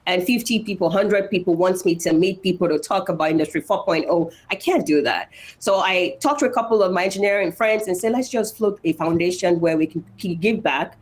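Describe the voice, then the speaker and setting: A woman's voice: on the low side, a little fast. A woman with a Nigerian accent speaking as an interview guest, personal and motivational, repeating phrases emphatically for stress. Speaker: interview guest, engineer and foundation founder.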